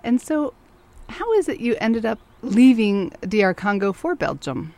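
A woman's voice: fairly high pitch, big pitch swings, unhurried, darkish tone. A woman hosting a radio interview program, moving between scripted introduction and curious follow-up questions.

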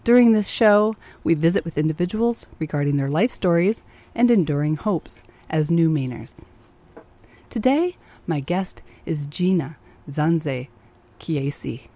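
A woman's voice: deep voice, lively intonation, unhurried, dark tone. A woman hosting a radio interview program, moving between scripted introduction and curious follow-up questions.

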